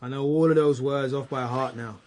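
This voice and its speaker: Male actor as insolent teenage suspect for radio drama: mid-pitched, slangy, cheeky swagger. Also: steady tone, brisk, darkish tone, close to an everyday voice. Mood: cocky, insolent. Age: young adult. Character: cocky eighteen-year-old male street youth, insolent murder suspect. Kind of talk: naturalistic radio drama acting.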